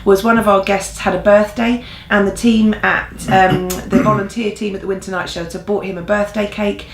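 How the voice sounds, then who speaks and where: A woman's voice: mid-range voice, level tone, normal speed, vocal fry, noisy background. A woman co-hosting an informal church news update in an earnest, encouraging way. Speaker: church newsreel co-host. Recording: echoey room sound.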